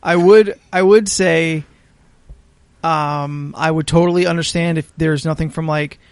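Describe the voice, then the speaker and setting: A man co-hosting a podcast in casual chat, explaining his opinions in a measured way. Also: fairly high pitch, fairly even intonation, clear, resonant tone, no room sound. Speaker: podcast co-host.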